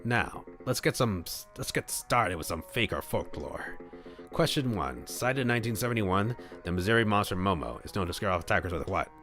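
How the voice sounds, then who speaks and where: A man's voice: deep voice, expressive, moderate pace, fairly bright tone. A man voicing a showy, taunting, villainous game show host, with music behind. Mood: smug showmanship. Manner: mocking showman.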